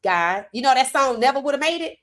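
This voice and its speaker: A woman hosting a sports commentary livestream, hoarse from talking, animated and emphatic with casual slang. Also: high-pitched, a little fast. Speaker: host.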